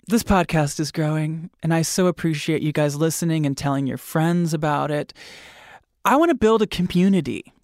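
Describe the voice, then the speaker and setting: A man from Michigan hosting a podcast in an animated, campy and chatty way, close to the mic. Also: a little high, no echo. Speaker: podcast host. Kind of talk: casual podcast chat.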